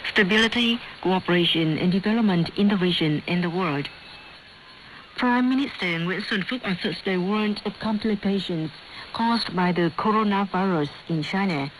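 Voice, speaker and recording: A woman with a Vietnamese accent reads scripted news in a formal, measured way over a shortwave radio broadcast. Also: medium pitch, a bit bright, poor audio. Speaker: radio news presenter and host. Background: clear static noise.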